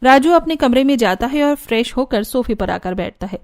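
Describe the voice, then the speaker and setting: A woman's voice: on the high side, animated, moderate pace. A woman narrator reading a scripted family cartoon story.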